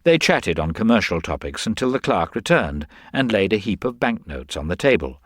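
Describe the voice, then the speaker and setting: A man giving a dramatic, expressive narration of a crime story. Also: lowish voice, moderate pace, dry recording, clean studio sound.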